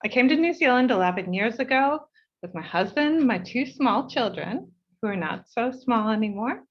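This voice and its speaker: A woman's voice: on the high side, animated, dull audio. Heartfelt New woman reading a speech. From United States. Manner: measured and heartfelt, slowing for emphasis. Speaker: board chair. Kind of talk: reading a prepared speech at an online meeting.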